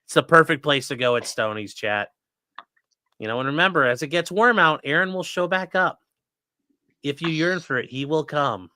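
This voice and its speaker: A man's voice: a little high, normal speed, sharp tone, no room sound, studio quality. A man hosting a livestream, joking through sponsor reads and giving blunt, sarcastic opinions. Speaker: livestream host, defense attorney. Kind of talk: casual livestream commentary.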